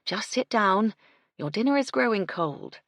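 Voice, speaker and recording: A woman voicing a kindly, firm lady in charge of a household, speaking in a measured, genteel and reassuring manner. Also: medium pitch, lively intonation, a bit bright, dry recording, clean studio sound, pitched above the performer's own voice.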